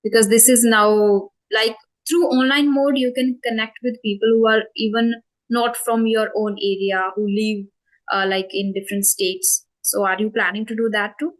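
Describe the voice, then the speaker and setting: A woman's voice: fairly high pitch, steady tone, normal speed, low-quality recording. A woman in her twenties with an Indian English accent, answering interview questions about her channel in a thoughtful way, with many fillers and self-corrections. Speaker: spoken English channel host.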